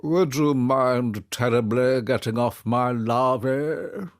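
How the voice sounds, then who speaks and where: A man's voice: medium pitch, slow, close to an everyday voice. A man voicing a huge, perturbed caterpillar who is very polite and put-out, in a children's drama. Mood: politely annoyed. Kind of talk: children's audio drama performance.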